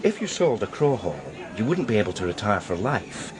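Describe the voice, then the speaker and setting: An actor playing a discreet male art dealer in a radio crime drama, speaking in a deliberate, hesitant, euphemistic way. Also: lowish voice, very animated, crowd noise. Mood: informative, curious. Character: male art dealer George, heartbroken, guarded, discreet old friend.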